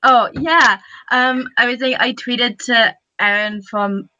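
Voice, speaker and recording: A woman's voice: a little high, steady tone, takes it slowly, sharp tone. A woman speaking hesitantly and appreciatively as a panelist in a casual online discussion. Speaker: podcast panelist. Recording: slightly dull, lo-fi audio.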